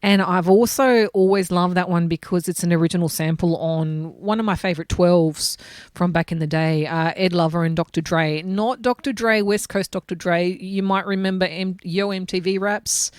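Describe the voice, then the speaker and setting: Raspy-voiced woman DJ, lowish and dry. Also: normal speed, no echo. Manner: animated, enthusiastic storytelling. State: hoarse from a cold. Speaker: DJ guest. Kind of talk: casual chat.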